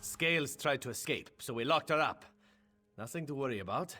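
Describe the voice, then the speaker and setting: An actor playing a blunt, self-possessed vampire in a video game, speaking in a husky, ominous way. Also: male voice, mid-range voice, lively intonation, a little fast, bright, forceful tone, soft background music. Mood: coolly ominous. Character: guarded, self-possessed male vampire, curt and grimly urgent.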